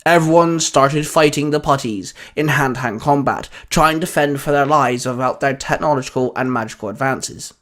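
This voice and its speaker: A man's voice: medium pitch, moderate pace, hardly any pauses, a bit bright. A man reading scripted fan fiction as a story narrator.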